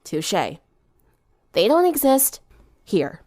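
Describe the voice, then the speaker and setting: A woman's voice: mid-range voice, very animated, drawn-out words, a little mellow, dry recording, studio quality, full character voice. A woman voicing a stubborn, sick teenage girl in a story reading, weary and groggy as she brushes off her friends. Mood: stubborn, dismissive.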